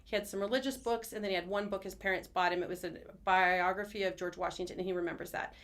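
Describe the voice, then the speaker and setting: A middle-aged woman speaks as a podcast guest in a conversational way. She is earnest and enthusiastic, with a medium pitch and a rapid, chatty flow. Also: fairly even intonation, fairly bright tone. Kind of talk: conversational interview answers. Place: studio.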